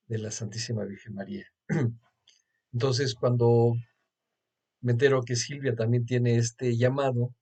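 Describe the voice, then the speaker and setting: A man's voice: mid-range voice, mellow, slightly rough. A man with a Mexican accent hosting a casual religious interview over a video call. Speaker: host.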